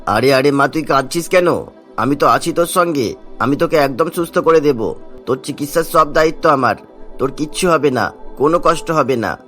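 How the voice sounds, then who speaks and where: A man's voice: on the high side, normal speed, fairly bright tone, faint music behind, close to an everyday voice. A man voicing a kindly middle-aged male dhaba owner in a cartoon, talking in a fatherly, reassuring way.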